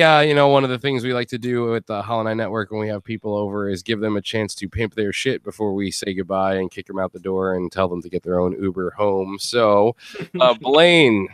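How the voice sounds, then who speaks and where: Male podcast host, crisp and dry-sounding, informal joker with an easy chat style. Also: on the low side, no echo. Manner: jokey, informal, laughs often. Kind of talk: casual group chat, wrapping up a show.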